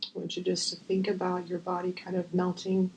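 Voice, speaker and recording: Creaky-voiced woman leading a gentle guided meditation. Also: fairly low pitch, monotone, slight background noise, rough recording. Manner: gentle, unhurried, with long pauses.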